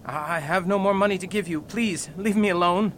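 A man's voice: high voice, moderate pace, a bit bright, a little hiss or hum. An actor playing a harried wealthy man under blackmail in a radio drama, pleading and anxious.

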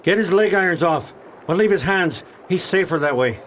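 A man's voice: high voice, expressive, normal speed, a little hiss or hum. An actor playing a sly, gloating pirate crewman in a theatrical period radio drama. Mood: wary.

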